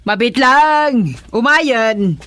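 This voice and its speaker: A woman's voice: fairly high pitch, lively intonation, very slow, fairly bright tone, exaggerated voice. A woman with an Ilocano Filipino accent playing an insistent, meddling middle-aged mother in a theatrical, coaxing radio drama style. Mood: welcoming.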